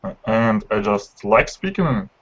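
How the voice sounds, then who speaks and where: A man speaks friendly, simple learner sentences in a lowish voice. The video-call audio sounds dull. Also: very animated, moderate pace, fairly bright tone, slight background noise. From Russia. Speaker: student.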